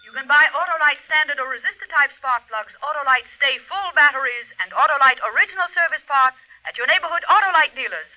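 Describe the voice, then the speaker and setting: Male commercial announcer, extremely high pitch, vintage radio sponsor tag with a muffled sound. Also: lively intonation, quick pace. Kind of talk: 1950s radio jingle-like sponsor spiel. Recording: dull vintage radio broadcast.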